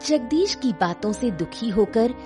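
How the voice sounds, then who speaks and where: Measured woman narrator over music. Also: a little high, animated, mellow. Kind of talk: scripted story narration.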